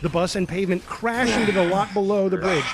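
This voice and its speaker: High-voiced male action narrator. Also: quick pace, very noisy. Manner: dramatic, spoken sound effects. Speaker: narrator reading stage directions. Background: music.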